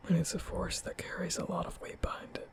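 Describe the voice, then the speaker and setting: A man playing a nervous, apologetic young vampire in an intimate ASMR roleplay, speaking breathily and often half-whispering, halting and stammering. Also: sits high, completely flat delivery, creaky. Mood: weary, frustrated. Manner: halting, stammering, rambling, sighing. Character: nervous, apologetic young male vampire, guilt-ridden and soft.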